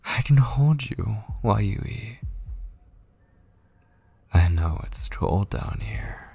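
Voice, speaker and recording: Man acting a husky, very slow possessive kidnapper. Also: on the low side, lively intonation, warm tone, no room sound, full character voice. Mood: hushed, coaxing. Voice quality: breathy, husky. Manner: slow, coaxing, menacingly tender, lingering on phrases. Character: possessive, obsessed male kidnapper, softly menacing and patient. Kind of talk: intimate ASMR roleplay, drifting into whispers. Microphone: close studio microphone.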